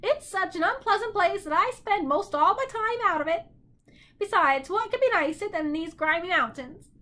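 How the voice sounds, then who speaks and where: A woman's voice: very high voice, lively intonation, normal speed, pushed and bright, voice pushed up, exaggerated voice. A woman voicing a malicious, haughty, unkempt male bird in a storybook, shrieking and cackling as he talks. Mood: disdainful.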